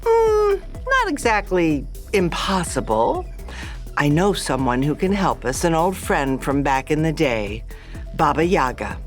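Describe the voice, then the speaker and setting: Woman acting tough folksy matriarch, animated delivery. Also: low voice, a little slow, faint music behind, heavily acted. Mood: firm, knowing. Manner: folksy, commanding. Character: tough, wry matriarch of an underground band. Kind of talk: table read of a fairy-tale script.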